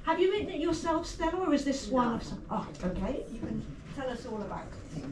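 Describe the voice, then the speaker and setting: A woman's voice: sits high, big pitch swings, a little fast. A woman hosting a poetry evening in a chatty, conversational way. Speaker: host. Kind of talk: event hosting. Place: indoor venue at a poetry evening. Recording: echoey room, distant mic, faint noise.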